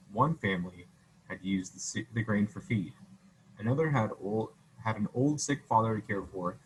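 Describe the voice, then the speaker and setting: A man's voice: lowish voice, a little hiss or hum, poor audio. A man reading a children's story aloud in a casual way, often stumbling and correcting himself. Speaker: reader.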